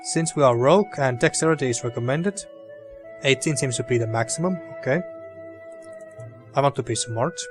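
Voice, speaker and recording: A man's voice: medium pitch, normal speed, pauses often, music in the background. A man with a dry throat casually commenting on a game as he plays, thinking aloud. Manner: relaxed, thinking aloud, mildly sweary. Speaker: gaming video host.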